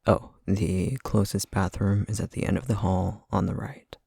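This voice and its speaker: A man playing a gruff, weary but welcoming housemate in an ASMR roleplay, with a raspy, rumbling, slightly congested voice and a hesitant, matter-of-fact way of talking. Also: level tone, normal speed, mellow, dry recording, clean studio sound, full character voice. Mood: weary, kind.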